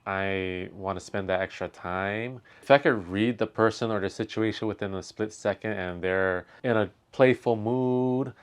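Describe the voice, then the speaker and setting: A man's voice: lowish voice, moderate pace, hardly any pauses, smooth. A man hosting a reaction video, thinking aloud in casual commentary and talking straight to the viewers. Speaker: reaction video host, street photographer.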